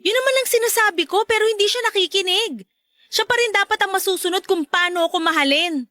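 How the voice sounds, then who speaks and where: A woman's voice: very high voice, quick pace, bright, forceful tone, dry recording, studio quality. A woman playing an emotional, whiny girlfriend and mother in an audio love-story drama, with a Filipino accent and dramatic delivery. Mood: hurt, venting. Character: emotional girlfriend, a mother, venting about her ex.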